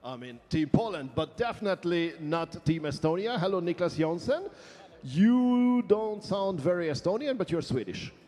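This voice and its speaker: A man's voice: high voice, big pitch swings, normal speed, warm tone. A man hosting a live cooking competition, playful and enthusiastic as he chats with guests in a large hall. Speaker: event host. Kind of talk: live event commentary and interviews. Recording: long echo of a big hall.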